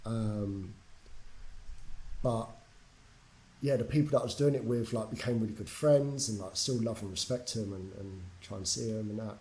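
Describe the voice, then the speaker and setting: A man's voice: fairly low pitch, normal speed, long pauses, darkish tone, low-quality recording. A young man from England, a podcast guest telling his story in a candid, casual way, swearing freely and using lots of fillers. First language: English.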